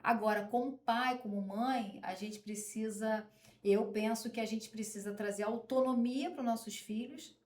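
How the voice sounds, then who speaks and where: A woman's voice: a little high, steady tone, unhurried, a little mellow. Earnest woman with a roomy echo. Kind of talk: conversational advice.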